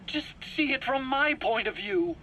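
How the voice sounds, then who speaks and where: A man's voice: very high voice, animated, unhurried, pushed and bright, highs cut off, a little hiss or hum, heavily acted. An actor playing an anxious, self-pitying male scientist in a video game cutscene, pleading and stammering indignantly in his own defense. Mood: defensive pleading. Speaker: accused scientist under interrogation.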